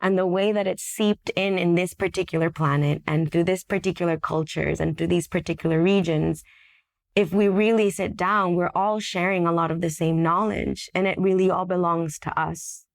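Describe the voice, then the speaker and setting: A woman's voice: lowish voice, fairly even intonation, moderate pace, vocal fry, dry recording, clean studio sound. A woman from Venezuela speaking as a podcast guest, reflecting on personal experience in an earnest, searching way. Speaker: podcast guest, shamanic practitioner. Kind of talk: reflective personal storytelling in conversation.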